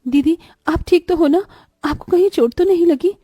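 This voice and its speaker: A woman's voice: very high-pitched, moderate pace, mellow, no room sound, pitched above the performer's own voice. A woman voicing a meek, loyal young stepsister in a cartoon moral story, speaking earnestly and respectfully. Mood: worried.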